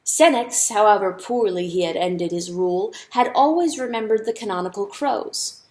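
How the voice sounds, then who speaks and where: A woman's voice: mid-range voice, moderate pace, a little mellow. A woman narrating an audiobook story as a dramatic, scripted reading. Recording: echoey, roomy sound.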